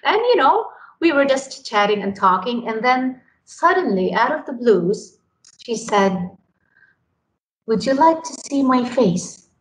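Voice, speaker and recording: A woman's voice: on the high side, very animated, measured pace. A woman from Lebanon, earnestly telling her story in a podcast interview and often repeating words as she starts a sentence. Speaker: radio program director. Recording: echoey room, faint noise.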